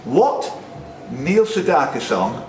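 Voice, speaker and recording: Echoey higher-voiced male quiz host. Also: very animated, takes it slowly, darkish tone, faint music behind. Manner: jokey asides between questions. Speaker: quiz host and question setter. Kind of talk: reading out quiz questions to players. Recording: noisy, echoey room recording.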